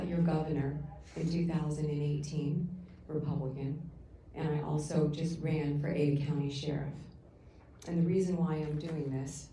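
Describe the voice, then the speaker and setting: A middle-aged woman giving an earnest, halting public comment at a council meeting, heard through the room's sound system from afar. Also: sits low, a little hiss or hum. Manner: loud voice. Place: council chamber. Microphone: room sound system, picked up from afar. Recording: echoey council chamber from far off.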